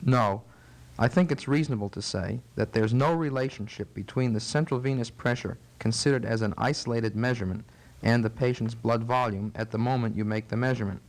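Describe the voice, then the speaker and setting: A man speaks as a medical expert being interviewed. His delivery is formal and methodical, with carefully built, precise explanations in a measured teaching style. His voice sits at an ordinary male pitch. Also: a little mellow.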